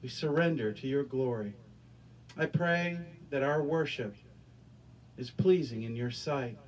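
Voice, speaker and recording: Earnest middle-aged pastor, handheld mic. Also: male voice, mid-range voice, a little slow, distant mic. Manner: earnest, repeats words, prayerful cadence. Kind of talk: sermon and prayer. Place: small church stage with curtains. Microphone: handheld microphone.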